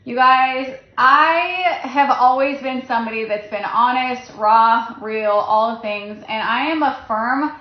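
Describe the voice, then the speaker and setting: A woman's voice: on the high side, takes it slowly, few pauses. A woman speaking on a livestream, nervous with a shaking voice, gushing and earnest. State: nervous, shaky voice. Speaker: social media seller on a livestream. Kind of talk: emotional livestream announcement. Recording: roomy, dull phone livestream audio.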